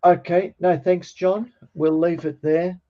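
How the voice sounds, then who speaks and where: A middle-aged man with a slightly croaky voice, teaching an informal online lesson in a hesitant, explanatory way. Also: sits high, little pitch movement, slow pace. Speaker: teacher of New Testament Greek. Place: online video call with screen share. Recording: dull, lo-fi online call.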